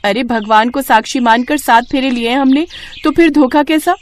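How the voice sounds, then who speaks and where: Casting: female narrator as naive village newlywed, high expressive voice, loyal and easily indignant, storybook melodrama, birdsong behind. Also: moderate pace, slight background noise, lightly acted. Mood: indignant, hurt. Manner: emphatic, expressive.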